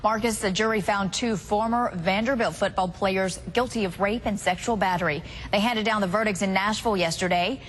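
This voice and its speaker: A woman's voice: medium pitch, fairly even intonation, a little fast, few pauses, slight background noise. A woman anchoring a morning newscast, reading scripted news.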